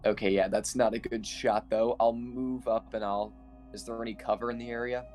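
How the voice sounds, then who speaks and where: A man's voice: medium pitch, little pitch movement, moderate pace, darkish tone, faint music behind. A man playing a tabletop game, chatting casually and hesitantly as he thinks his moves through aloud.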